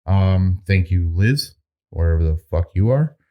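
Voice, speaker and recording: A man's voice: sits low, expressive, unhurried, muffled recording. A man co-hosting a podcast, recapping a news article in a laid-back, casual way, with some swearing and frequent laughter. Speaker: podcast co-host. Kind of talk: casual chat, reading news.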